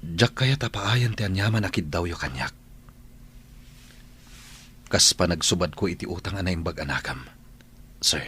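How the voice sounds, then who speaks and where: A man with an Ilocano Filipino accent acting a humble, apologetic young employee in a radio drama, with a husky voice. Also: sits low, moderate pace, pauses often, a bit bright, slight background noise. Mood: apologetic, pleading.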